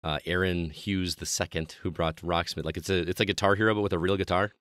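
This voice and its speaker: Slightly hoarse, low-voiced male podcast host. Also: steady tone, fast talker, a bit bright, no echo, studio quality. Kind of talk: casual podcast chat.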